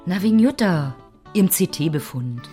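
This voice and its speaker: Low-voiced, dry female care worker. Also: lively intonation, a little slow, warm tone, soft background music. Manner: matter-of-fact, dry. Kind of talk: radio drama dialogue.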